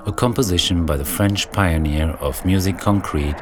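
A man hosting a radio music show, giving calm, measured scripted narration between tracks. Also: low-pitched. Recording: music playing under the voice.

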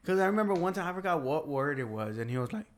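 A man whose first language is Spanish, chatting casually with friends, rambling with halting restarts and casual swearing. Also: on the high side, big pitch swings. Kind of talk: casual chat.

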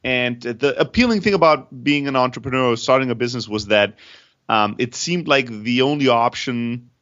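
A startup founder in his thirties, originally from Greece and raised in Germany, talks through his story with restless energy and keeps restarting his phrases. His voice sits at an ordinary middle pitch. The audio is a little dull and lo-fi. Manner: energetic, frequent restarts and repeated words. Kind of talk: candid interview storytelling.

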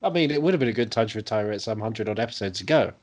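A man's voice: lowish voice, expressive, rapid-fire, darkish tone. A man co-hosting a podcast in casual comic banter, with playful, mock-grand phrasing. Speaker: podcast co-host.

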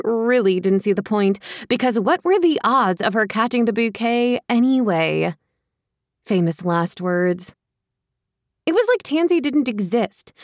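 A woman reading a romantic comedy audiobook with wry, expressive, dramatic phrasing. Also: fairly high pitch, no room sound, clean studio sound. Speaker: audiobook narrator. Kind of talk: scripted fiction narration, romantic comedy.

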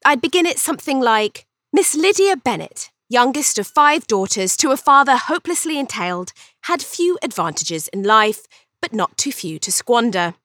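A woman's voice: fairly high pitch, sing-song, normal speed, a bit bright, no echo, studio quality. A woman voicing a cheeky, vain young Regency Englishwoman telling her own story in an arch, chatty, theatrical way for an audiobook. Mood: arch, playful. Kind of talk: first-person audiobook storytelling.

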